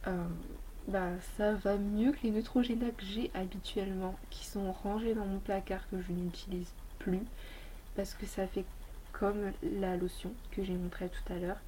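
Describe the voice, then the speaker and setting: A woman's voice: medium pitch, level tone, unhurried, mellow, slight background noise, rough recording. A woman beauty vlogger with a slight head cold, chatting casually and rambling through a review of her toiletries. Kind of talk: casual product review chat.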